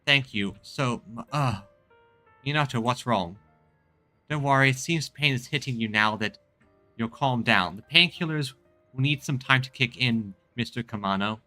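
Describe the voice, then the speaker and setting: A man's voice: mid-range voice, moderate pace, bright, forceful tone, no echo, soft background music. A man streaming a game and reading dialogue aloud, often stumbling and repeating phrases.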